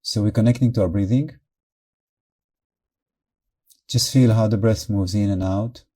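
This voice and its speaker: Very mellow, soft-edged male meditation guide. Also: on the low side. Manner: calm, measured, short phrases with pauses. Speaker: meditation teacher.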